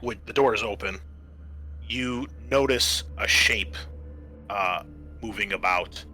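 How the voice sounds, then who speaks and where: A man running a tabletop roleplaying game as game master, narrating in halting, pieced-together phrases. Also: fairly low pitch, sharp tone, a little hiss or hum.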